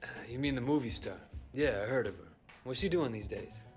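An actor playing a cool-headed, obliging young gangster in a video game, casual and understated. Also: male voice, mid-range voice, a little fast, faint music behind, lightly acted. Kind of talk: video game cutscene acting.

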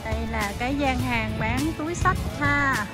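A woman's voice: a little high, normal speed. A woman in her thirties casually narrating a travel vlog, chatting directly to viewers with lots of fillers, at home and then walking through a busy street market. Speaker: vlogger. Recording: background music over the voice.

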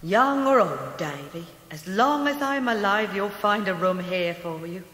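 Radio drama role: devoted old country nurse, bright forceful voice, echoing like a memory. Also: female voice, medium pitch, animated, measured pace. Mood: loving. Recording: long echoing reverb.

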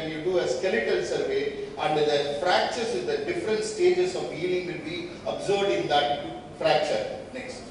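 Indian-accented man lecturing in an echoey hall. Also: fairly high pitch. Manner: halting, listing points in short clipped phrases. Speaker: radiologist giving a medical lecture. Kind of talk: slide lecture. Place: indoor meeting hall at a podium. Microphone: distant camera microphone. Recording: echoey hall from far off, noisy.

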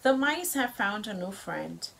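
A woman's voice: medium pitch, expressive, measured pace, creaky, a bit bright, a little hiss or hum. A cheerful young prince read by a woman. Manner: friendly, upbeat storybook voice.

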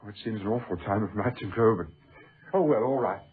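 Husky, secretive British scholar, tinny recording. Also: male voice, on the low side, brisk. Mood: hesitant, yielding. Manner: hesitant, stammering, politely evasive, old-fashioned educated speech. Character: secretive scholarly male archaeologist, diffident yet quietly excited. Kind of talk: BBC radio drama acting. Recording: muffled lo-fi old radio recording.

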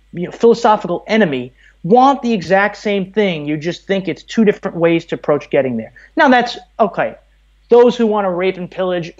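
A man's voice: high voice, a little mellow. An American man in his thirties from Brooklyn, talking at length and explaining things as a guest in a casual interview. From Brooklyn, New York, USA. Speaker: podcast guest, audio producer. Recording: slightly dull, muffled audio.

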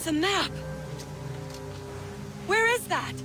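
A woman's voice: extremely high pitch, takes it slowly. An actress playing a composed, commanding young woman assassin in a video game cutscene. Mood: urgent. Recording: music behind the voice.

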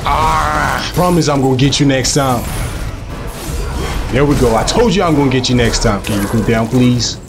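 A young man with a cold, hosting a gaming channel and talking over his gameplay in a chatty, joking way, with a hoarse voice. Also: mid-range voice, moderate pace, pauses often, music in the background. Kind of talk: casual gameplay commentary.

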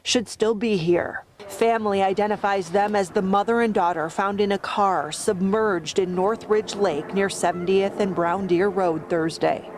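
A woman news reporter giving a measured, somber field report. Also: medium pitch, steady tone, a little mellow, a little hiss or hum.

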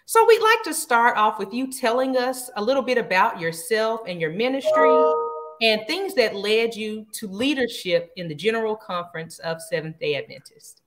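Lively young woman hosting a video call. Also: a little high, moderate pace, soft background music. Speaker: host. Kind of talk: moderating a video-call interview. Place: home, indoors. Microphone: video call webcam.

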